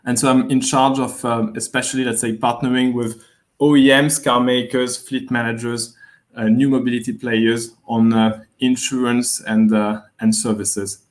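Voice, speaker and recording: French-accented man, measured webinar guest. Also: medium pitch, little pitch movement. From France. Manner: measured, hesitant with many fillers. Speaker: guest insurance executive. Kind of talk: webinar interview.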